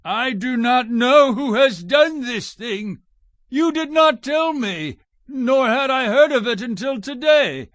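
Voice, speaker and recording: A wary ancient king speaks in a high male voice with solemn, formal declamation. The sound is dry and close, in the style of dramatized scripture. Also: lively intonation, slow, fairly bright tone, dry recording, full character voice. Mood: protesting, questioning.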